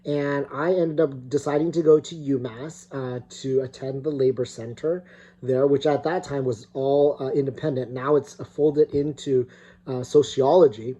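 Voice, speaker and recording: A man's voice: mid-range voice, steady tone, normal speed, warm tone. Hesitant middle-aged man from California. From California, United States. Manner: hesitant, many fillers and restarts. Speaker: guest speaker. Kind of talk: recorded opening talk.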